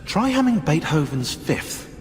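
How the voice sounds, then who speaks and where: Game historian: excitable, sarcastic lecturer, very animated delivery, heard in a long reverb. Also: male voice, fairly high pitch, a little slow, slight background noise. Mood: dry, sarcastic. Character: excitable, sarcastic, know-it-all male historian. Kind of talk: video game cutscene dialogue. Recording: long reverb, loud music behind.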